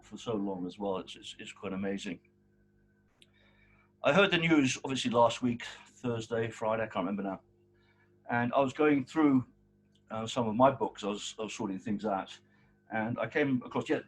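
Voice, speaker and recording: A man's voice: mid-range voice, slight background noise, poor audio. A man in his sixties from South Africa, giving an informal eulogy at a wake with a big voice and rambling, fond storytelling full of restarts. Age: 60s.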